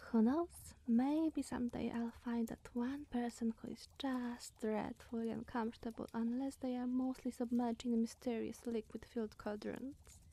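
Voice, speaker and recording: A woman playing a flustered, eccentric young witch groundskeeper in an ASMR roleplay, rambling and awkwardly apologetic in a soft, breathy voice. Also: fairly high pitch, steady tone, moderate pace, hardly any pauses. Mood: eager, hospitable.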